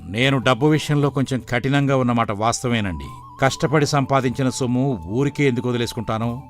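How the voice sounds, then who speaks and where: An actor playing a proud, self-made, miserly village moneylender who justifies himself firmly in a moral story. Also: male voice, medium pitch, a little fast, soft background music, natural voice. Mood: stern, unapologetic. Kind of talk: dramatised moral story dialogue.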